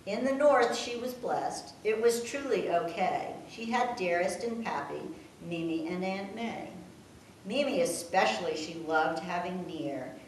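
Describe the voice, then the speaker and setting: An older woman reading a rhyming tribute poem aloud with a measured, sing-song delivery. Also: lowish voice. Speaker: family member reading her own poem. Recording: echoey room, distant mic, faint noise.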